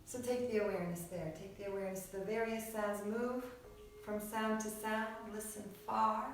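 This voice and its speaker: A woman teaching yoga nidra, guiding relaxation in a soothing, unhurried manner with short phrases and long pauses. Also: mid-range voice, fairly even intonation, slight background noise. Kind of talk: guided relaxation meditation. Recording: very echoey room, distant mic, music behind. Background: music.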